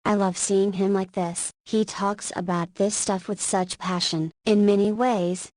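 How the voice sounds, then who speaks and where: Visual novel role: actress as a coy, admiring woman of forty, medium pitch, dry studio-like sound. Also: fairly even intonation, measured pace, a little mellow, no room sound, lightly acted, rough recording. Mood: fond, reflective.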